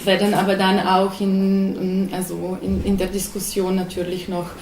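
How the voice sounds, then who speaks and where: A woman opening a panel as moderator, halting with many fillers. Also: mid-range voice, completely flat delivery, darkish tone. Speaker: event moderator. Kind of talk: formal welcome at a panel. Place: gallery room. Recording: roomy gallery with faint noise.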